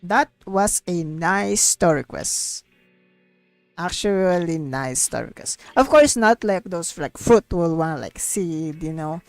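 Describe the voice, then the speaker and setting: A woman's voice: on the low side, expressive, slow pace, no room sound, soft background music. A young woman livestreamer giving casual, rambling game commentary, repeating herself and filling sentences with "like" and "you know.". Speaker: VTuber livestreamer.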